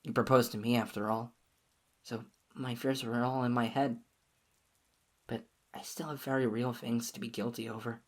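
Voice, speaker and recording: A woman's voice: very deep voice, fairly even intonation, moderate pace. A woman playing an obsessive, clingy lover. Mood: quietly sinister. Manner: soft, whispery, pleading. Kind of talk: audio roleplay addressed to listener.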